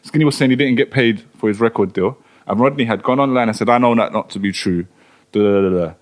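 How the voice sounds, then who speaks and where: A man co-hosting a podcast, telling a story in casual chat full of slang. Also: medium pitch. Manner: fast, animated, slangy storytelling. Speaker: podcast co-host.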